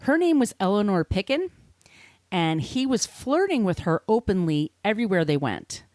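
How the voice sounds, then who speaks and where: A woman's voice: mid-range voice, big pitch swings, moderate pace, a little mellow, dry recording, clean studio sound. An American woman hosting a podcast, telling a story from notes conversationally, with informal, wry asides. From United States. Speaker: podcast host.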